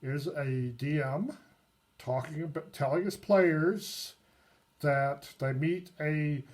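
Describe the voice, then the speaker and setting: A man giving a casual, unscripted review of a tabletop game book, pausing often mid-sentence. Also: on the high side. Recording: noisy recording with clicks.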